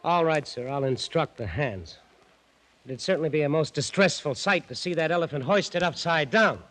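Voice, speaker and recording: A man's voice: on the high side, expressive, normal speed, lightly acted, low-quality recording. A man acting a sardonic, exasperated merchant ship's mate in a 1940s radio drama, with a wry, grumbling manner. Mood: dutiful. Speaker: actor playing a first mate and narrator. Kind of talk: 1940s radio acting, first-person storytelling.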